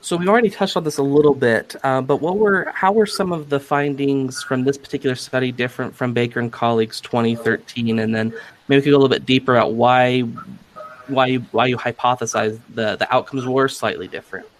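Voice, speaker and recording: A man's voice: mid-range voice, steady tone, a little fast, no echo. A man hosting an interview podcast, talking through research in a conversational way. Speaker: podcast host.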